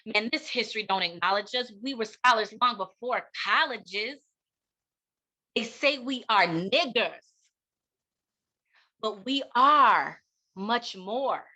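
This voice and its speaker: Bright, high-voiced American woman giving a keynote. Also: expressive, poor audio. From United States. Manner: emphatic, deliberate rhetorical delivery with pauses and repetition. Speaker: keynote speaker and educator. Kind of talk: impassioned keynote talk.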